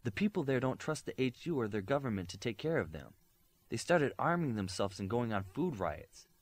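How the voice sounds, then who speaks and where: Guy doing a proud young superhero in an audiobook, argumentative, emphatic, voice pushed higher. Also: sing-song, normal speed. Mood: troubled.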